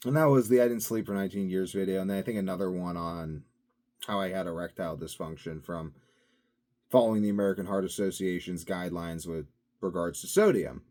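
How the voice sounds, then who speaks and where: Young dude vlogging, kinda low voice, rambly, loud then mumbly. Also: male voice, steady tone, a little fast. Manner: meandering, swings between loud bursts and mumbled asides. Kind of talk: casual rambling monologue.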